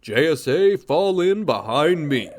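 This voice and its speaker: A man voicing a confident male superhero who leads the team with brisk orders. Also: on the high side, big pitch swings, a little hiss or hum. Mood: commanding. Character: confident male hero with a power ring, a natural leader. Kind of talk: amateur radio drama acting.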